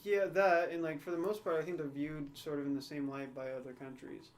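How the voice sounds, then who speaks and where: A man's voice: a little high, fairly even intonation, fairly quick, a little hiss or hum. A young man co-hosting a podcast, talking things through in a thoughtful, hedging way, often checking in with "right?". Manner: thoughtful, hedging, frequent "right?" and "you know". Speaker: podcast co-host. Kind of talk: casual discussion.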